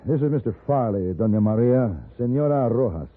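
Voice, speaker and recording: An actor playing a cool, wry, educated gunfighter for hire in a radio western, with a polished, dry delivery. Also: male voice, mid-range voice, animated, a little fast, natural voice. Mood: smooth, courteous. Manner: polished, dry, self-possessed. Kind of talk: 1950s radio western acting. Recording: muffled old radio recording.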